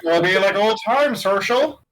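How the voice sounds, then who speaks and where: Woman voicing a polite, measured detective-game colleague. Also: medium pitch, lively intonation, fairly bright tone. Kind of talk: voiced game dialogue.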